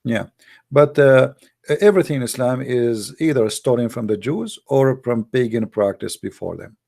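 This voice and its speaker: A man with a dark, creaky voice and as his hosts a live call-in show. He argues emphatically, repeating his phrases with a mocking edge. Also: mid-range voice, moderate pace. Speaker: host of a religious debate call-in show. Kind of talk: live call-in commentary and polemic.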